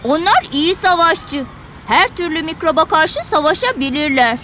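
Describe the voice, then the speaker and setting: A woman's voice: sits high, expressive, moderate pace, bright, forceful tone, a little hiss or hum. A woman voicing a knowing, earnest child who explains things in a children's educational cartoon. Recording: music behind the voice.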